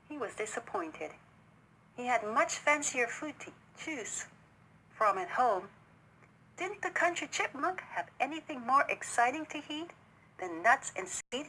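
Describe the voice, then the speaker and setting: A woman narrates a children's story in a high, highly varied voice. Her read-aloud is slow and expressive, recorded with some background noise. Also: poor audio.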